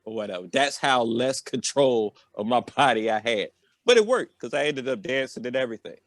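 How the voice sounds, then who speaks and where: A man's voice: medium pitch, lively intonation, a little fast, no room sound. An American man telling a personal story in a loose, rambling, slangy way, often tacking on filler phrases. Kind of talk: casual, rambling comedic storytelling.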